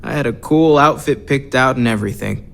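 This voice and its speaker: A man playing a friendly, gentle and playful companion in a video game. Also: medium pitch, fairly quick, creaky, lightly acted. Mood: fond, playful. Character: friendly, gentle, playful believer, devoted son.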